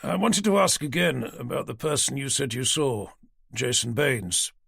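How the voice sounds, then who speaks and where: A man's voice: mid-range voice, expressive, moderate pace, creaky, fairly bright tone, breathy edge, no echo, studio quality, full character voice. A man reading an audiobook, voicing a police detective inspector who stays polite while hiding impatience.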